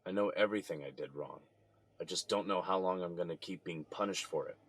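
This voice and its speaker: A man's voice: low voice, fairly quick. An actor playing a jailed father calling his mother, tired, sighing and resentful. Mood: weary. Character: jailed father, weary and bitter. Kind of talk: audio drama acting.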